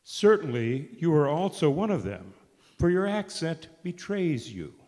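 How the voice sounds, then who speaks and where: A man performs a mocking bystander in a staged scripture reading. The voice is fairly high and very animated, emphatic and accusing. It echoes in a large hall. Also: a little slow. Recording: reverberant hall.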